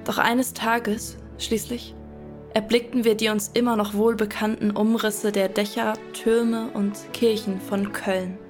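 An actress playing a medieval woman, quietly and sorrowfully narrating a long journey in a radio drama. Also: mid-range voice, little pitch movement, unhurried, faint music behind, lightly acted.

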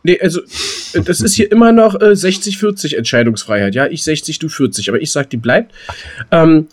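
A man's voice: on the high side, lively intonation, a little fast. A male podcast co-host talks. His delivery is casual and playful, and he tends to repeat phrases as he goes. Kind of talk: casual banter.

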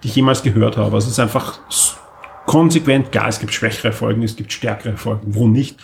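A man's voice: fairly low pitch, steady tone, brisk, vocal fry, slight background noise. A man co-hosting a podcast in casual chat, wordy and enthusiastic, piling up emphasis in long monologues. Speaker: podcast co-host.

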